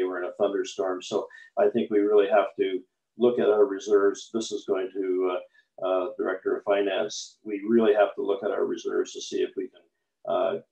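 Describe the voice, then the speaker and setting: Gravelly councillor on an echoey call. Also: male voice, on the low side, mellow. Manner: halting, many fillers and restarts. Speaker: city councillor. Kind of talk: council meeting questions. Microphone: video call. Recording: echoey distant lo-fi video call.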